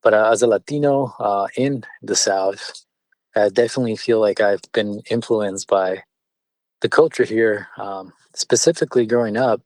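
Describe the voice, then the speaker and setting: A man whose first language is Spanish, a business owner answering interview questions in a measured way with frequent fillers and restarts. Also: fairly low pitch, a little mellow, dry recording. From born in California, partly raised in Mexico City. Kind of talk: conversational interview.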